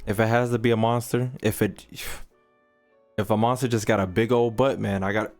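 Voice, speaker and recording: A man's voice: lowish voice, steady tone, moderate pace, a little mellow, faint music behind. A man streaming a game with casual, slangy, profane and joking reaction commentary. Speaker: gaming streamer.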